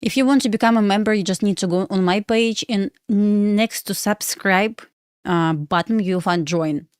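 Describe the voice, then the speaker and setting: A woman's voice: mid-range voice, moderate pace, smooth, no room sound, clean studio sound. A young woman from Belarus, a livestream host and day trader, chatting casually with viewers in full of fillers. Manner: chatty, addresses viewers as guys, frequent uh fillers, non-native phrasing.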